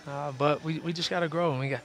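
A man in his forties, a head basketball coach, answering post-game questions courtside in an arena through a headset mic, in a steady way with frequent repeated words. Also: fairly high pitch, fast. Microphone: headset boom mic.

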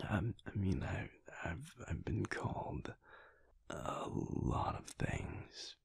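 A young man playing a big, bashful, affectionate werewolf boyfriend in an intimate audio roleplay, speaking softly and haltingly in a husky near-whisper. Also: low voice, heavily acted. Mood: bashful, teasing. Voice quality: breathy, husky, gravelly. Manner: halting, soft, hesitant with small chuckles.

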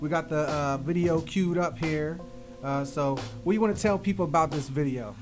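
High-voiced young American man hosting hip hop radio. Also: normal speed, faint music behind. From West Philadelphia, United States. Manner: slangy, frequent 'you know what I'm saying'. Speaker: guest radio host. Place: small radio studio, indoors. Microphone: close studio microphone on arm, headphones.

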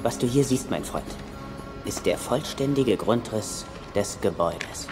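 An actor in a German film dub playing a nervous, wisecracking young treasure-hunting sidekick, sarcastic and prone to stammer. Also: male voice, fairly low pitch, animated, a little slow, music in the background. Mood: insistent. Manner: sarcastic, stammering when agitated.

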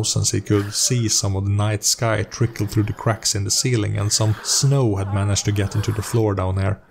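A man's voice: lowish voice, darkish tone, slight background noise. A man narrating a fantasy story in a scripted audiobook style, as its host. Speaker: narrator and host of a role-playing story series.

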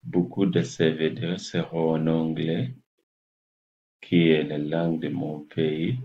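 Slow, gravelly, deep-voiced Kenyan man. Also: fairly even intonation. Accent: Kenyan English. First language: English. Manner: slow, halting, searching for French words. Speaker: health channel host. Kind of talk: casual address to camera. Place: small indoor room. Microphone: laptop webcam at desk distance. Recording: muffled lo-fi webcam audio.

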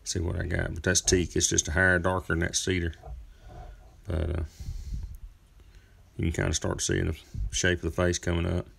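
A man in his thirties with a deep, heavily gravelly voice talks through his carving work outdoors. He keeps a flat, even tone and rambles in a relaxed, folksy way, full of small fillers. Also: moderate pace, long pauses, slight background noise. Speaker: chainsaw carver. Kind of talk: casual workshop narration. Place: outdoor driveway workspace.

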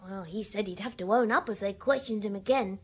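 A woman's voice: mid-range voice, fairly quick, husky, close to an everyday voice. A woman playing a guilty young village boy in a radio drama, talking in a plain, childlike, confessional way. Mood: uneasy, confessing. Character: guilty, confiding young French village schoolboy.

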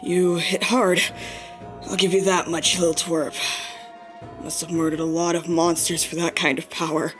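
A woman's voice: lowish voice, level tone, moderate pace, a bit bright, soft background music, close to an everyday voice. A woman playing a fierce monster warrior in a dramatic comic dub, intense and heroic. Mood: gruff, protective. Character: fierce, gruff female monster warrior, wounded but defiant.

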